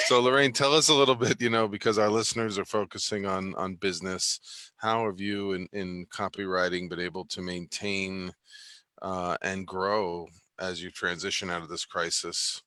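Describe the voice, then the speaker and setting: A middle-aged man, a clinical psychologist, hosts a live online interview over a video call, speaking haltingly with many fillers and restarts. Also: low-pitched, fairly even intonation, sharp tone, dry recording, clean studio sound. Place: home in Los Angeles, video call.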